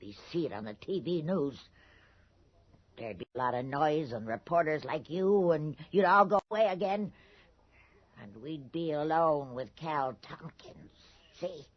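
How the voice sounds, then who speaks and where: A woman's voice: sits low, lively intonation, a little slow, no room sound. An actress playing an anxious, gossipy rural townswoman in a 1980s radio horror drama, speaking in a folksy, ominous way. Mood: ominous warning. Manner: folksy ungrammatical speech, hushed and ominous. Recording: dull lo-fi radio drama recording.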